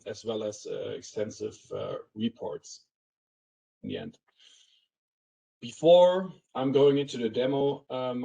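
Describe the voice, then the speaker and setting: Guy doing a software product walkthrough, gravelly fry in his voice, keeps stopping for ums and uhs. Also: mid-range voice, rough recording. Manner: hesitant, halting delivery with many ums and uhs. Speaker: software company presenter. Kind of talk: product presentation, explanatory.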